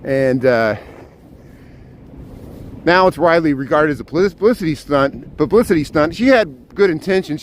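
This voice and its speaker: Loud chatty man on a noisy street. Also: a little high. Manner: chatty, pointing out sights as he walks. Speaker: live streamer; urban planner. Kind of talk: walking tour live stream. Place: outdoors on city streets. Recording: noisy city street with traffic.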